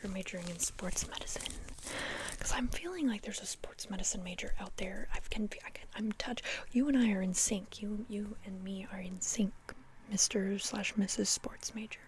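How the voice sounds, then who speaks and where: A woman's voice: medium pitch, moderate pace, background noise. A young woman whispering close to the mic in a playful, chatty ASMR sleep talk, often repeating words. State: dry mouth. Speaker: ASMR host.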